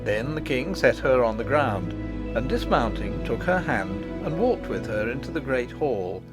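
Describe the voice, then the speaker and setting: A male audiobook narrator reads a fantasy tale with lively, swooping intonation. His pitch sits in an ordinary middle range for a man. Loud music plays along behind his voice. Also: measured pace. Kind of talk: expressive scripted storybook narration. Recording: voice over prominent background music.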